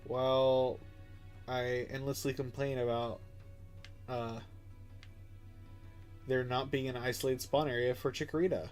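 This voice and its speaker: A man's voice: mid-range voice, little pitch movement, unhurried, pauses often, darkish tone, soft background music. A young man with a stuffed-up nose, chatting casually and rambling while he streams a game. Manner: chatty, rambling, filler-heavy. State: stuffed up, congested. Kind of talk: casual live-stream commentary.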